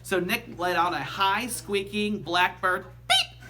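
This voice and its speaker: A man reading a children's story aloud like a teacher, with animated, emphatic storytelling. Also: high-pitched, a little slow, noisy background.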